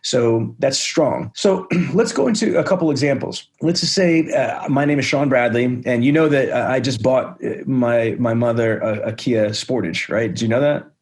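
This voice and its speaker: A man's voice: medium pitch, moderate pace, few pauses. A man hosting a sales podcast, talking with energy and enthusiasm, praising and setting up role plays. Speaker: podcast host, sales trainer.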